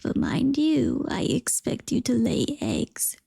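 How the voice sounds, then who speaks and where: A woman voicing a gruff old woman who takes in a duckling, in a storybook reading. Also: a little high, wildly swooping pitch, slow pace, dark tone, dry recording, exaggerated voice. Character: old hard-of-seeing woman in a hut.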